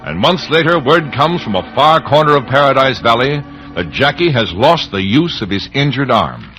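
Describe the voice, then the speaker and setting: A man's voice: mid-range voice, very animated, normal speed, bright, forceful tone, low-quality recording. A man narrating a western serial as a formal, dramatic announcer in old-time radio style. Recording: vintage radio with organ music.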